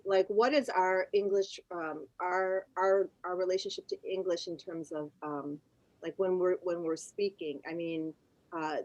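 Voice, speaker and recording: An American-born woman with roots chatting on a video call, laughing often and pausing with many ums. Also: fairly low pitch, fairly even intonation, dry recording, highs cut off. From United States. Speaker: guest. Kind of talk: casual conversation on a video call.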